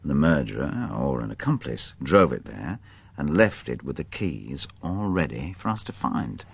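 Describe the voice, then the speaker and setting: An actor playing a middle-aged police detective, wry and musing, in a conversational radio drama style. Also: male voice, very deep voice, sing-song, a little slow, vocal fry, slightly rough, no room sound, heavily acted. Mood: brisk, pondering.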